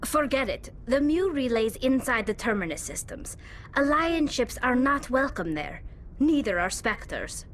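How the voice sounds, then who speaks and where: A woman's voice: high voice, big pitch swings, unhurried, slight background noise. A woman playing a blunt, skeptical military officer in a science fiction game, speaking curtly. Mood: warning. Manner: curt, warning.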